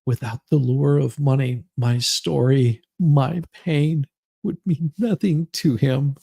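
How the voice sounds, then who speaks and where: A man voicing a self-pitying, melodramatic woman in a slow, overwrought, mock-solemn dramatic reading of a grievance essay. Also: mid-range voice, steady tone, gravelly, warm tone, slightly rough, heavily acted. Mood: solemn, indignant.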